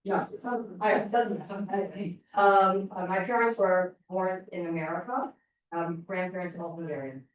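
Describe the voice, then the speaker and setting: A woman chatting slowly about her family with fillers, recorded from far off in an echoey room. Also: lowish voice, steady tone. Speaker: class participant. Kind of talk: casual chat.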